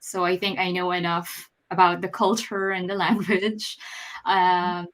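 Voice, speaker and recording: A woman from the Philippines, a front-end software engineer, giving an upbeat, chatty self-introduction at an online panel. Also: on the low side, flat delivery, a little slow.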